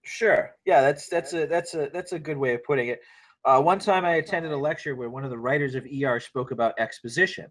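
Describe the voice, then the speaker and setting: A man with a somewhat high voice talks casually among fellow panelists. He explains his points conversationally, in the manner of someone sharing anecdotes. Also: brisk, fairly bright tone, poor audio. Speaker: script table read panelist. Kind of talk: casual discussion of screenwriting.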